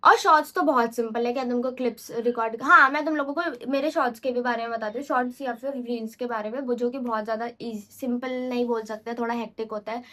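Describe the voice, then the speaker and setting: High-voiced chatty young woman vlogger. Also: steady tone, quick pace, few pauses, bright, forceful tone. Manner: rambling, chatty, mixes English into Hindi, self-correcting. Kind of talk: casual unscripted vlog talk.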